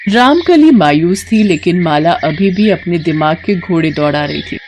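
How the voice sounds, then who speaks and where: A woman's voice: fairly low pitch, lively intonation, moderate pace, darkish tone, a little hiss or hum. A woman narrator telling a scripted cartoon story in storybook style.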